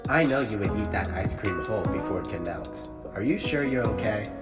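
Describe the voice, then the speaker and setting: A man's voice: on the low side, expressive, brisk, roomy sound, over loud music, pitched above the performer's own voice, close to an everyday voice. A man voicing a caring, cheery teenage boy in a narrated story. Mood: concerned, gentle.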